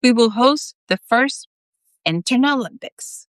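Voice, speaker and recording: A woman voicing a bossy, excitable male cat boss in a storybook, proclaiming theatrically. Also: a little high, slow pace, no room sound, clean studio sound, voice pushed up. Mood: grandiose.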